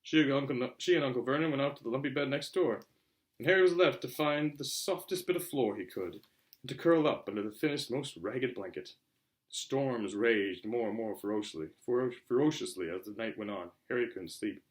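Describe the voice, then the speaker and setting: A man reading a storybook aloud as a narrator, in an expressive, theatrical style. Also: mid-range voice, fairly quick. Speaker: audiobook reader.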